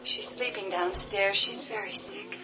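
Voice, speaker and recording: A woman's voice: medium pitch, unhurried, close to an everyday voice. An actress playing a worn-out mother in her thirties, scornful toward her husband, in a radio drama. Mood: gentle. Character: weary long-suffering mother in her thirties. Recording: dull lo-fi audio with music.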